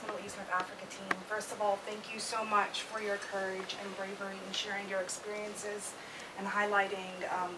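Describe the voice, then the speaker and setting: A woman in the audience asking a panel questions in a loud voice in a conference room. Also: mid-range voice, distant mic. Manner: loud, quick asides. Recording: off-mic, clear room noise.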